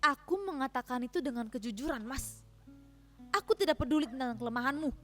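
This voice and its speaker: A high-pitched, wounded wife in a failing marriage, played by a woman. Her delivery is theatrical, emotional and reproachful, as in a staged dramatic reading, with faint music behind. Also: lively intonation, a little fast, sharp tone. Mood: wounded, resolute. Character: hurt, proud village wife in a failing marriage.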